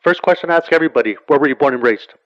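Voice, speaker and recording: A male interview host talks quickly through rambling questions. His voice sits at a middle pitch. It comes across dry and slightly muffled, with no room sound. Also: dry recording. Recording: dull, dry low-fidelity sound.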